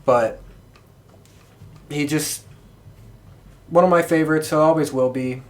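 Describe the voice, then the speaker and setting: A man hosting a podcast in casual conversation, with a rambling, halting delivery. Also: on the high side, steady tone. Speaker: podcast host. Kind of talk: casual chat.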